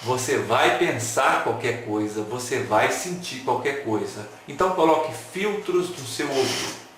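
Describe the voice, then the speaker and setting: A man's voice: mid-range voice, a little slow, slight background noise. Guy lecturing, joking around, asks the crowd stuff, lots of echo. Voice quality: animated, playful, asks the audience questions. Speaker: lecturer at an online talk. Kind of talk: lively lecture with jokes and rhetorical questions. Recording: very echoey room.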